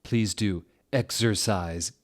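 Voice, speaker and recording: A man's voice: fairly low pitch, slow. A man voicing a young, cool, foul-mouthed gothic female teacher in a comic story reading. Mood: breezy.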